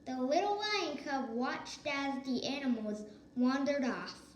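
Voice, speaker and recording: A woman reading a children's story aloud with deliberate delivery and occasional corrected stumbles, in an echoey room. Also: high-pitched. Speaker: storybook reader. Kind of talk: children's read-aloud narration. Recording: echoey room with faint noise.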